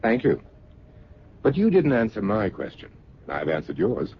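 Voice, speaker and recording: An actor playing a suave, candid escort in an old-time radio drama, smooth-talking, wry and breezy. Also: male voice, on the low side, wildly swooping pitch, unhurried. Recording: muffled, noisy vintage radio recording.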